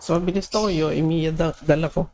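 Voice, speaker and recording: Gravelly Filipino man streaming a game. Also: fairly high pitch, fairly even intonation, fairly quick, background noise. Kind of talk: casual gameplay commentary, thinking aloud. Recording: game music behind the voice.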